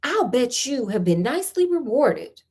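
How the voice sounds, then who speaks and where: A woman's voice: on the high side, very animated, normal speed, a little mellow, husky, full character voice. A woman with an American accent voicing a nosy, grasping male palace sentry in a storybook, in a wheedling manner. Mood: prying.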